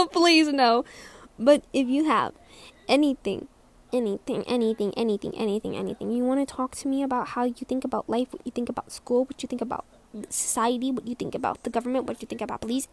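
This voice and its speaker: A young woman with a squeaky voice rambling in a casual vlog, hesitant and self-conscious, full of restarts and trailing words. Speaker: vlogger.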